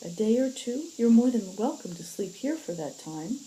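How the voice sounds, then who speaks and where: A woman's voice: a little high, big pitch swings, normal speed, dark tone, slight background noise, pitched above the performer's own voice, low-quality recording. A woman voicing an earnest, pleading young woman who stands up for her family in a story.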